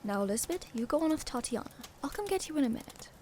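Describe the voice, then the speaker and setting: A woman's voice: high-pitched, sing-song, fast talker, mellow. A woman playing a formal, firm aunt who runs an estate, in an amateur radio play. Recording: faintly noisy home recording.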